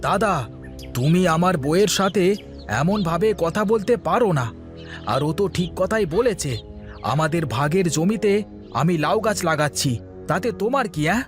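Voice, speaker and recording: A man's voice: high-pitched, expressive, normal speed, soft background music. A man voicing a defiant, doting young village husband, the younger brother, in cartoon dialogue. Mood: defiant, indignant. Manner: argumentative, protective of his wife.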